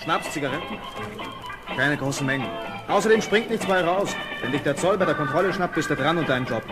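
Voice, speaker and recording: Actor as blunt docker, slightly high voice. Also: male voice, a little fast, over loud music, lightly acted. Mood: sceptical. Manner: blunt, colloquial. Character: wary, sceptical male docker with rough slang. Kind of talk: German children's audio drama acting.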